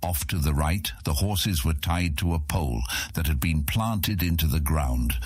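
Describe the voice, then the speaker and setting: Deep husky guy narrating a fantasy audiobook, super dry sound. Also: a little slow, darkish tone, no room sound. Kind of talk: scripted fantasy story narration.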